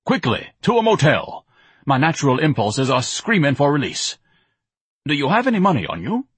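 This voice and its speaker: A man's voice: on the high side, wildly swooping pitch, normal speed, slightly rough, no room sound, voice pushed up, heavily acted. A man voicing a pompous, self-pitying, overweight grown man who talks in grand, melodramatic speeches. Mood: frantic, theatrical.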